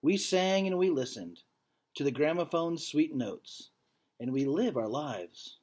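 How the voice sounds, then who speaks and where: A man's voice: a little high, lively intonation, measured pace, a little mellow. Man reading a story aloud. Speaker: teacher reading aloud to students. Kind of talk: picture book read-aloud with casual explanatory asides.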